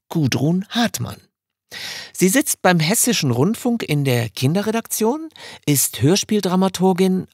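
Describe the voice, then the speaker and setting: A man's voice: a little high, breathy edge, dry recording. A man hosting an interview podcast in a conversational way, recorded close in a studio. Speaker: podcast host.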